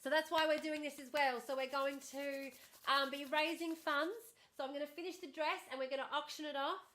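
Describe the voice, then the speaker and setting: Woman streamer, high and bright, bubbly and chatty, slightly worn voice from hours of talking. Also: fairly even intonation, brisk. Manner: bubbly, chatty, thanks viewers warmly and often. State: starting to lose her voice. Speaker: livestream host. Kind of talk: casual livestream chat with viewers.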